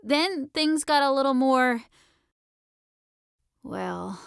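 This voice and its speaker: A woman plays a teenage girl in an audiobook, sharp and argumentative. Her voice is high and very clean, with slangy, emphatic phrasing, recorded close and dry. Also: animated, drawn-out words, creaky, smooth, no echo, full character voice. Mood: explaining. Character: sharp, argumentative sixteen-year-old high school girl.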